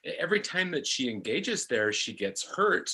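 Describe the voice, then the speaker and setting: Middle-aged man, bright but husky. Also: mid-range voice, big pitch swings. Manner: halting, repeats words as he searches for them. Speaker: actor on a discussion panel, speaking as a parent. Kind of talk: reflective personal discussion.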